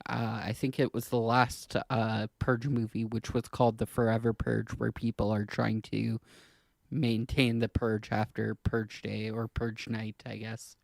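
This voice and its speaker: Guy on a podcast, lots of vocal fry, kinda halting and hesitant, really dry sound, chatting casually. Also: on the low side, completely flat delivery, a little mellow, dry recording. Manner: hesitant, halting delivery with trailing clauses. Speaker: podcast co-host.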